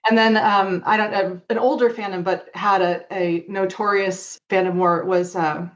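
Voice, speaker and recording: On slightly muffled, lo-fi audio, a woman of medium pitch speaks as a podcast guest. She recounts memories in an easy conversational interview style. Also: fairly even intonation, normal speed. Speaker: podcast guest, longtime fan author.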